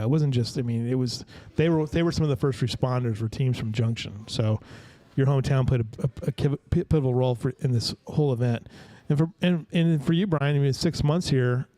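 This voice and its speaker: A man hosting a local interview show, talking in a rambling, halting way with many restarts. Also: mid-range voice, a little mellow, no echo. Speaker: host. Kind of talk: casual interview.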